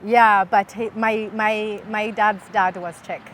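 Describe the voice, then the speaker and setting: A woman's voice: medium pitch, level tone, takes it slowly, crowd noise. A woman from England, a singer, chatting in a casual interview with giggly, hesitant answers. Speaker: singer, guest. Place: outdoors in nature.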